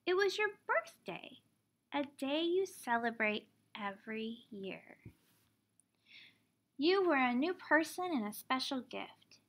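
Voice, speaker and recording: High-pitched woman reading to young children. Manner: expressive, simple explaining for young children. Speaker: teacher reading a picture book aloud. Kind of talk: children's storybook read-aloud.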